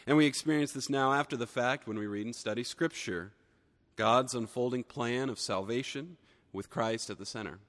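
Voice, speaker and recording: Hoarse preacher in a reverberant hall. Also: male voice, medium pitch, moderate pace, a bit bright. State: hoarse from a cold. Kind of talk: sermon. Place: church sanctuary.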